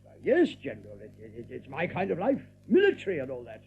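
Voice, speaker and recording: An actor playing a nervous rabbit captain in an audio drama, stammering and evasive, as if hiding something. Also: male voice, mid-range voice, huge pitch swings, fast, creaky, slightly rough, exaggerated voice. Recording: muffled lo-fi tape with background noise.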